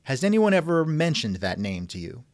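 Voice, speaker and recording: A man's voice: fairly high pitch, very animated, moderate pace, dry recording. A man hosting a conversational interview, asking questions in a wry, skeptical manner. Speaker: interviewer, host. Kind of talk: reenacted text-chat interview, conversational.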